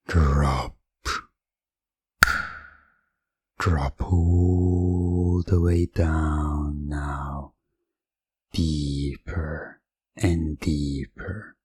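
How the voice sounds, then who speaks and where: A man playing a commanding, possessive hypnotist game master in a hypnosis roleplay, speaking deliberately and repeating himself hypnotically. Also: rumbling low, mellow, husky, exaggerated voice. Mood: coaxing, commanding. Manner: slow, deliberate, dominating, hypnotic repetition.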